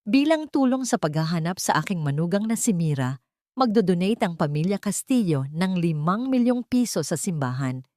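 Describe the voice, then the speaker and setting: A woman's voice: on the low side, very animated, normal speed, a little mellow. A woman voicing a cold, hypocritical rich older matriarch who makes a grave, formal public statement. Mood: solemn.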